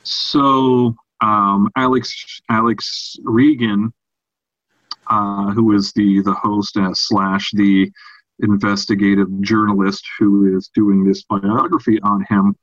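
A man with a fairly low voice talks slowly and haltingly, often restarting his phrases. He sounds like a podcast co-host in casual discussion, recorded in a roomy space with a slightly dull sound. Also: little pitch movement, a bit bright. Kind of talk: casual discussion of horror fiction.

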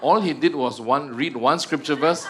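A man's voice: fairly high pitch, moderate pace, noisy background. A man with a Malaysian accent giving a humorous teaching talk to a church group, joking at his own expense and tagging sentences with "ah" and "huh". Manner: joking, self-mocking, tags sentences with "ah" and "huh".

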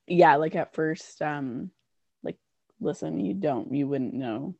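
A woman with a lowish, gravelly voice whose pitch swings widely as she chats. She is an easygoing, supportive co-host, recorded in a dry, close sound with no room around her. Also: slow pace, a little mellow, no room sound, muffled recording. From Canada. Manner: easygoing, supportive listener, laughs along. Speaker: podcast co-host. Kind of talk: casual chat.

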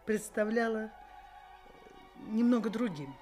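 An actress playing a genteel middle-aged mother in a radio play, formal and deliberate. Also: fairly high pitch, expressive, warm tone. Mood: polite, appraising. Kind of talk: 1980s Soviet radio play acting. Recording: theatrical radio play with music behind.

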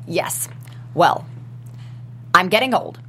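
A woman's voice: lowish voice, expressive, takes it slowly, bright, forceful tone, in a whisper, full character voice. A woman playing a chatty, disapproving widowed grandmother in a script read. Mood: chatty, oversharing. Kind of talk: table read of a TV pilot script.

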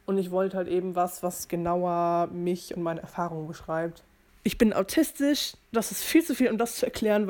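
A woman's voice: mid-range voice, dark tone. A woman in her early twenties from Germany hosting a podcast, explaining things about herself informally and rephrasing as she goes. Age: early 20s. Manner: informal, explanatory, self-reflective, circling back to rephrase. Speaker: podcast host. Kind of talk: casual personal monologue to listeners.

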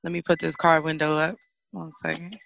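Raspy, hoarse woman podcaster. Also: sits low, little pitch movement, normal speed, fairly bright tone, dry recording, poor audio. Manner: profane, laughing, easygoing. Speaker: podcast co-host. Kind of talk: casual podcast chat. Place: inside a parked car.

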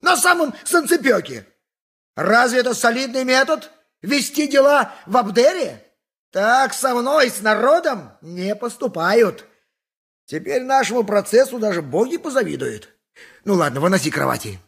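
Boastful, high-pitched tipsy donkey driver. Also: male voice, big pitch swings, normal speed, gravelly, a bit bright, breathy edge, full character voice. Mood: indignant. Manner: theatrical, mocking, swinging between crowing and muttering. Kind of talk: radio play acting, 1980s.

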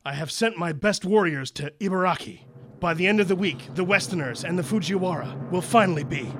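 A man's voice: high voice, fairly quick, background noise. A man playing a solemn, dutiful villain bent on revenge in an audio drama, formal and deferential. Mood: grave, vengeful.

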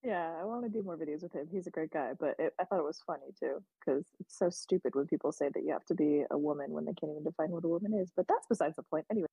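A woman on a casual podcast panel, talking fast in a joking, sarcastic way. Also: low-pitched, vocal fry, warm tone, slightly rough, dry recording, dull audio. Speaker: podcast guest.

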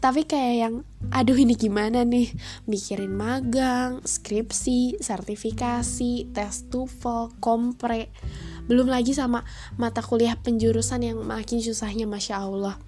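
A woman's voice: on the high side, steady tone, unhurried, warm tone, soft background music. A young woman, talking in a friendly, chatty podcast style, her voice nasal and stuffy from a cold. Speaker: podcast host. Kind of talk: casual solo podcast talk.

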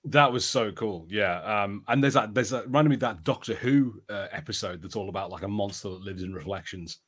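A man's voice: fairly low pitch, a little fast, fairly bright tone, slightly rough. A man co-hosting a podcast, chatting casually about films with an enthusiastic, conversational way of telling things. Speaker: podcast co-host. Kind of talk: casual review chat.

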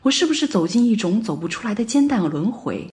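A woman playing a bewildered Paris resident in a radio play monologue, telling her story deliberately with dramatic swells. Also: mid-range voice, sing-song, a little mellow, natural voice. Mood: puzzled, growing anxious. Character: woman living in Paris, bewildered, recounting a looping morning.

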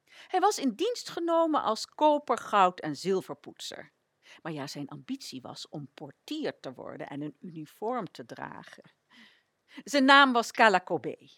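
A woman narrating a radio play in an expressive storytelling manner. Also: medium pitch, measured pace, dry recording.

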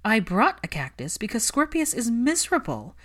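A woman's voice: fairly high pitch, huge pitch swings, moderate pace, fairly bright tone, pitched above the performer's own voice, exaggerated voice. A woman voicing an outspoken, emotional and indignant female caregiver in a story, with an emphatic, argumentative delivery.

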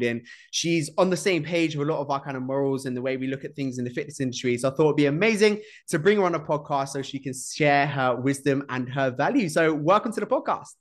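A man's voice: on the high side, expressive, fairly quick, hardly any pauses. A man hosting a podcast, introducing his guest in a friendly, encouraging way. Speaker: podcast host.

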